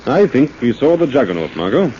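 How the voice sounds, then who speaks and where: A man playing a composed, thoughtful gentleman sleuth in a 1940s radio drama. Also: mid-range voice, sing-song, brisk, natural voice. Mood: grave. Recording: muffled, hissy old radio recording.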